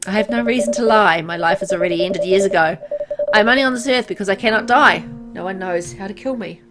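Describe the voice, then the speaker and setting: A woman voicing an embittered, world-weary immortal man in a visual novel, explaining things gravely. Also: medium pitch, fast, lightly acted. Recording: loud music behind voice.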